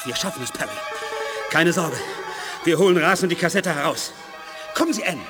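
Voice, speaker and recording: An actor playing an earnest, intense mutant with special powers in a radio drama, encouraging and emphatic. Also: male voice, fairly high pitch, lively intonation, a little fast, music in the background, close to an everyday voice. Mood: urgent encouraging. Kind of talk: 1980s German radio drama acting. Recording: long hall reverb.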